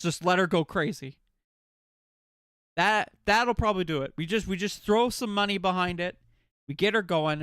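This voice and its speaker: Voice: medium pitch, a bit bright, dry recording, clean studio sound. A game streamer chatting casually and jokingly with viewers, playful and given to swearing. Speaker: game livestreamer.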